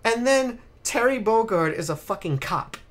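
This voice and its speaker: A man's voice: very high voice, animated, moderate pace. A man hosting a podcast, chatting casually and ranting with exasperated emphasis, repeated words and casual swearing. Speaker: podcast host. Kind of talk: casual chat, ranting film commentary.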